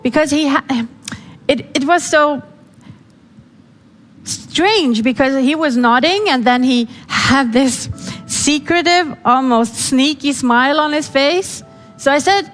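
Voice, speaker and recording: A woman with a Farsi first language tells a personal story from a stage, her voice a little high. She acts out the people she quotes with drama. The sound rings on in a large hall, with faint music underneath. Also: a bit bright. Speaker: speaker. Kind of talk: storytelling speech. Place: event hall.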